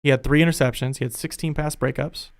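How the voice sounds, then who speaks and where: A young man hosting a casual sports podcast from his home studio, chatty and energetic, his voice a bit worn. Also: medium pitch, quick pace. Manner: energetic, chatty, thinking aloud. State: losing his voice. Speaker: podcast host.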